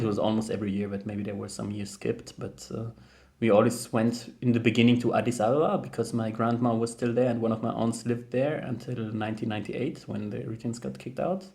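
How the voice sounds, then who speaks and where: A man's voice: medium pitch, gravelly, a little mellow. Young German-accented man musing to camera. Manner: thoughtful, hesitant, many fillers and restarts. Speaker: vlogger. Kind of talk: casual talk to camera.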